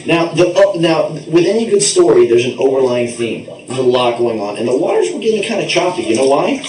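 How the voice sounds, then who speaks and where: Animated man storytelling in an echoey bathroom. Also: a little high, fairly quick. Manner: playful, rambling, theatrical. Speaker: puppet show narrator. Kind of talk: improvised comic storytelling. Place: bathtub in a bathroom. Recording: echoey bathroom, distant noisy mic, water sounds.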